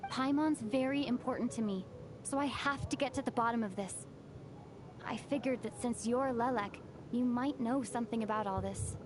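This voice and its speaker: A woman's voice: fairly high pitch, normal speed, background noise, close to an everyday voice. A woman playing an earnest, protective young traveler in a video game, speaking with determination. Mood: earnest, concerned. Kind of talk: video game story dialogue.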